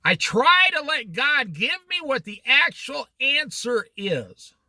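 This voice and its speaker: Bright, lively-voiced man teaching. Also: very high voice, slow pace, no echo. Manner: animated, challenging rhetorical questions; jokey asides. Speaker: Bible study teacher. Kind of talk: informal Bible study talk.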